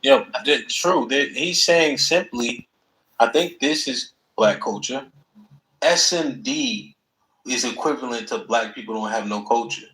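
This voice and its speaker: A man's voice: medium pitch, unhurried, pushed and bright. A man casually explaining his view in slang during a discussion. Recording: echoey room.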